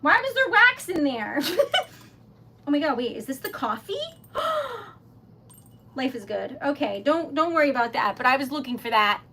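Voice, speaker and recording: A woman's voice: high-pitched, sing-song, normal speed, pauses often, sharp tone, slight background noise. A woman with a Rhode Island accent hosting a casual craft livestream, chatting to her viewers, laughing often and rambling as she goes. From New England. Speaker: livestream host. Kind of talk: casual chat while crafting.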